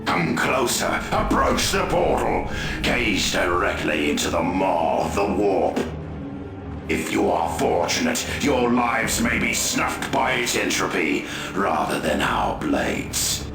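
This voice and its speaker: A man playing a grandiose, menacing Chaos warrior in a video game, gravelly and theatrical, gloating in archaic speech. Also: rumbling low, sing-song, slow, reverberant, over music, heavily acted. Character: grandiose, menacing male Chaos warrior champion, bloodthirsty zealot. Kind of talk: video game villain acting.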